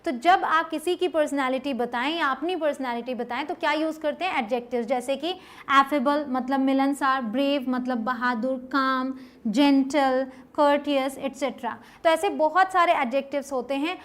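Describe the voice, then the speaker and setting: A woman with an Indian accent teaching an online English lesson step by step, often checking understanding and slowing down to model example sentences. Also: sits high, a bit bright. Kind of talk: instructional lesson, explaining step by step.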